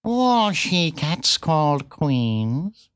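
Woman doing a clipped, gruff storybook tomcat. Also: deep voice, big pitch swings, very slow, dry recording, clean studio sound, exaggerated voice. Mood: gruff, curt. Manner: clipped, bitten-off short phrases, spat from side of mouth. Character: gruff, battered ginger tomcat, terse but friendly. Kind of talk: children's storybook character voice.

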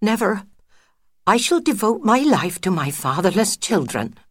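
A woman's voice: medium pitch, big pitch swings, a little slow, husky, no echo, studio quality, voice pushed up. A woman doing a weary widowed mother mouse. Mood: resigned.

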